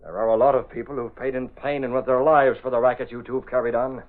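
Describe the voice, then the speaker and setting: An actor playing a masked vigilante in an old radio drama, speaking in a clipped, commanding, deliberate way. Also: male voice, mid-range voice, flat delivery, lightly acted. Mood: stern, menacing. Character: masked male vigilante posing as menacing avenger, doubling as publisher. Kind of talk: 1930s-40s radio drama acting. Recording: tinny, band-limited old radio recording.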